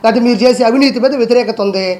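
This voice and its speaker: A man's voice: very high voice, rapid-fire, a little mellow. A man delivering a heated rebuttal in a combative way, firing off one rhetorical challenge after another.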